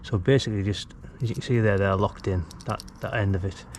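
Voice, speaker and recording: Low-voiced British man over background noise. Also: steady tone, fairly quick, darkish tone. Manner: informal, colloquial, talking while working. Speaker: DIY vlogger, radio hobbyist. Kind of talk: casual hands-on tutorial chat. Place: outdoors at a house's brick wall. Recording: clear background noise, outdoor work ambience.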